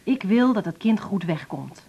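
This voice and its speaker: A woman's voice: medium pitch, big pitch swings, moderate pace, dark tone, slightly rough. An actress playing a blunt, spiteful pregnant woman in a radio drama, confrontational and curt. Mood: irritated, blunt. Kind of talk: naturalistic 1980s Dutch radio drama acting. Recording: dull, muffled radio recording.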